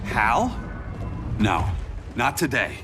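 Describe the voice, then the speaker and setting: A man's voice: mid-range voice, wildly swooping pitch, very slow, full character voice. A man playing a guarded, regretful hero in a video game cutscene, earnest and challenging. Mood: wary. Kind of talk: dramatic game cutscene acting. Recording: loud music behind.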